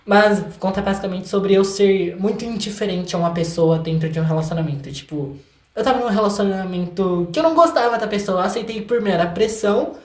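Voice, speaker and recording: A young Brazilian man talking casually and candidly as a guest in an interview, with an expressive manner. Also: high-pitched, fairly quick. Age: young adult. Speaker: guest, singer and songwriter. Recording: roomy, echoey room sound.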